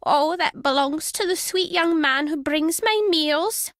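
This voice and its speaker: An older British former spy and journalist in a video game. Her voice sits fairly high, in a dry, close recording. She is wry and guarded, teasing yet fond as she speaks. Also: measured pace, fairly bright tone, no room sound. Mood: wry, reminiscing. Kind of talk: video game character dialogue.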